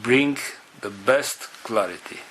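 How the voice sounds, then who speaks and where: Very slow, low-voiced earnest man. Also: a bit bright, a little hiss or hum, rough recording. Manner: halting, earnest, phrases broken by pauses. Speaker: spiritual group leader. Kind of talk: spiritual address to group members.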